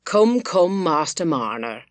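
Woman doing a country pub landlord in an old novel, lowish, blunt, steady, takes charge. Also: slow, no room sound, heavily acted. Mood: firm, commanding. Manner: conciliatory yet firm, rustic phrasing. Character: blunt, steady male village innkeeper taking charge.

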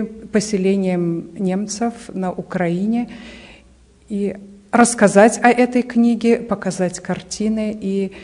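A woman in her fifties answering interview questions as a spokeswoman, haltingly searching for words, indoors on a handheld microphone. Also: medium pitch, mellow. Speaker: association press spokeswoman being interviewed. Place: indoor art gallery. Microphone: reporter's handheld microphone.

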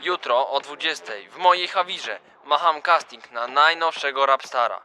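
A man's voice: on the high side, moderate pace, bright, forceful tone, smooth, soft background music. A man playing a boastful hip-hop producer making a slangy radio announcement in a comic audio drama. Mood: hyped swagger.

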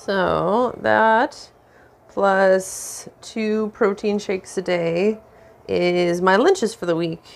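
A woman casually narrating her weekly meal prep in a home kitchen, with relaxed, dry running commentary. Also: medium pitch, very slow, gravelly. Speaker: home cook vlogger.

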